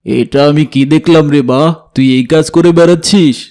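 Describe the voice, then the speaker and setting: A man voices a proud village chairman in a cartoon. His voice is dark-toned yet a bit high in pitch. He scolds like a stern, indignant father. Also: fairly even intonation, normal speed, lightly acted. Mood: shocked, angry.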